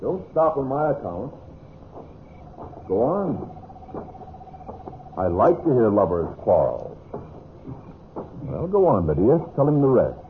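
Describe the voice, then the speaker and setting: An actor playing a sardonic, coolly menacing betrayed husband in an old-time radio drama, mock-courteous and theatrical. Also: male voice, medium pitch, very animated, slow, pauses often. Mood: sarcastic menace. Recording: tinny, noisy old radio recording.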